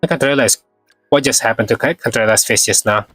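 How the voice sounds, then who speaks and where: A man's voice: mid-range voice, brisk, a bit bright, breathy edge, dry recording, faint music behind. A man giving casual, rambling live commentary as he streams a game, repeating himself and swearing mildly, with a slightly sore throat.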